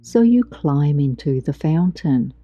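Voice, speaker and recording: A woman guiding a meditation in a soothing, gentle, deliberate way with long pauses, recorded with a muffled sound. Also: sits low, expressive, no room sound. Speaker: meditation guide. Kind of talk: guided meditation narration. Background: background music.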